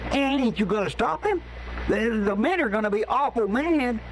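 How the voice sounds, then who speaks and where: Very high-pitched folksy sidekick, American, excitable and plaintive, old Western radio with faint noise. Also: male voice, normal speed, poor audio. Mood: dismayed, puzzled. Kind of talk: 1950s Western radio drama acting.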